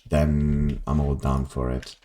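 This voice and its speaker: A man's voice: flat delivery, slow pace, mellow. A young man, chatting loosely while live-streaming a game, full of ums and self-deprecating asides. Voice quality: very low voice. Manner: rambling, self-deprecating, many ums and restarts. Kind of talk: casual live-stream chat while gaming.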